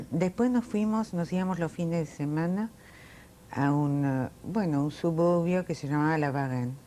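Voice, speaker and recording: A woman in her late sixties, born in Italy, telling her life story in an oral history interview, reflective and unhurried with frequent fillers. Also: deep voice, mellow, a little hiss or hum. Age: 60s.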